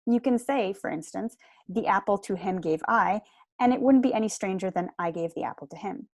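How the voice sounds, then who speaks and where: Young female lecturer, explanatory and articulate, medium pitch, dry home recording. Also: fairly quick, darkish tone, no echo. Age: young adult. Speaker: lecturer, PhD student. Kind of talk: prepared seminar lecture. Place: small room at home.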